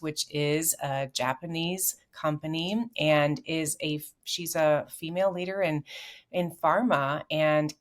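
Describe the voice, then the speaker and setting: Low-voiced upbeat woman podcast host. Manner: upbeat, encouraging, with frequent affirmations. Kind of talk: scripted intro then casual interview.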